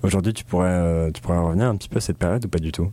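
Mellow, low-voiced male interviewer. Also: rapid-fire. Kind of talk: casual conversational interview.

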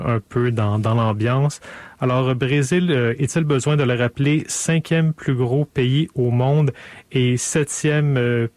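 Man, radio host, relaxed, hesitant, dry studio sound. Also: mid-range voice, no room sound. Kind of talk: casual radio presentation.

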